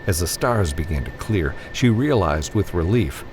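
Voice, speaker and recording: A man narrates fiction in a dramatic audiobook style. His voice is low and moves expressively up and down, with a faint vehicle-like ambience behind it. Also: animated, normal speed, background noise.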